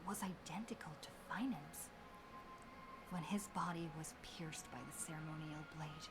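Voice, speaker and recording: A woman playing a solemn young game heroine, recounting an epic saga in measured, dramatic scripted narration. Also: on the low side, background noise, natural voice. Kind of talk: scripted story recap narration.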